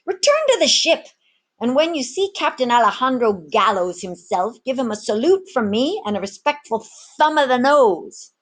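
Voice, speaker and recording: A roguish one-armed pirate mate from a seafaring storybook, acted out by a woman reader. He bullies and schemes in rough sailor slang, the voice pushed well above the reader's own. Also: a little high, big pitch swings, moderate pace, fairly bright tone, pitched above the performer's own voice. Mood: irritated, bossy.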